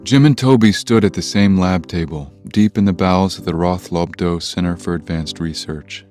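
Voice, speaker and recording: A deep-voiced man narrates a scripted story in an audio drama. Soft guitar music plays underneath. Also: moderate pace.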